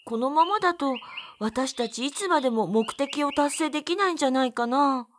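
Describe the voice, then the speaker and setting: An actress playing a shy, gentle young woman who loves plants in a Japanese audio drama, speaking softly and earnestly. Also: high voice, lively intonation, moderate pace, a little hiss or hum. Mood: hesitant, pondering.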